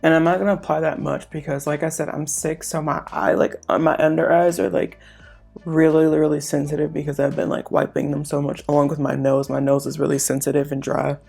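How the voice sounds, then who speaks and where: A woman's voice: deep voice, fairly quick, gravelly, breathy edge, faint music behind. Stuffed-up woman chatting about makeup. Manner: chatty, relaxed, lots of fillers. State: stuffed up, nasal congestion. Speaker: beauty vlogger. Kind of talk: casual makeup tutorial chat.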